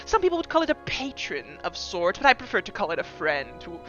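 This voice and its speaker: A woman voicing an evasive, wry fey-pact trickster in kobold form, speaking in a smooth, elegant manner. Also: mid-range voice, very animated, a little fast, highs cut off, over music, natural voice. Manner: elegant, smooth, mock-wounded.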